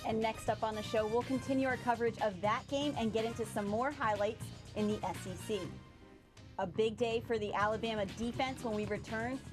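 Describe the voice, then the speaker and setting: A woman co-hosting a sports show, reading scripted game highlights and stats. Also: fairly even intonation, a little fast, over music. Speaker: TV sports co-host. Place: TV studio.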